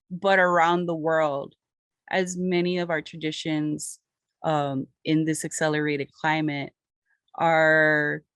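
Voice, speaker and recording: Low-voiced woman botanist giving a talk. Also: no room sound. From Hawaii. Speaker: botanist presenting research. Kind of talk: academic lecture with slides.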